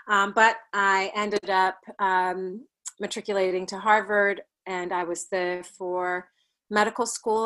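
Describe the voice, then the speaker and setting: A thoughtful woman from Ghana in her forties, speaking as an interview guest. She recounts her path in a measured way, with frequent ums and small laughs between thoughts. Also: lowish voice, a bit bright, poor audio. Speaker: plastic surgeon guest. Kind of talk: interview, life story.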